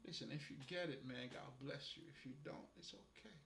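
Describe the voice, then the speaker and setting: A man's voice: mid-range voice, a little fast, vocal fry, a bit bright, echoey room, a little hiss or hum. A man with a Haitian accent talking loosely and profanely about spirituality, laughing often. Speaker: spiritual guide. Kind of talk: casual spiritual monologue.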